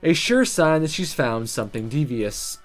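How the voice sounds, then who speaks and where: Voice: mid-range voice, lively intonation, moderate pace. A let's play host reading visual novel narration aloud, with game music behind.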